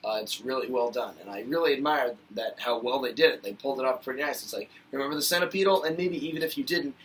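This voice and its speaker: A man's voice: medium pitch, quick pace, a bit bright, recorded from a distance, a little hiss or hum, low-quality recording. A man vlogging a casual, rambling review of a cartoon episode, chatty and full of fillers, getting worked up now and then.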